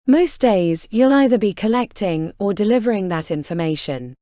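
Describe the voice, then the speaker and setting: Brief: a woman voicing a coolly casual female recruiter, mellow lively voice, dry. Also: mid-range voice, normal speed, dry recording, close to an everyday voice. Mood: businesslike. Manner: smooth, businesslike, unbothered. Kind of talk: audiobook character reading.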